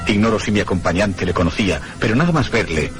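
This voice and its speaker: Low, animated, troubled gentleman, played by a man. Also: fast, soft background music, lightly acted. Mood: brooding. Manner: formal, brooding, dramatic. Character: troubled, inquisitive gentleman hotel guest recounting a ghostly mystery. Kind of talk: radio mystery acting and first-person narration.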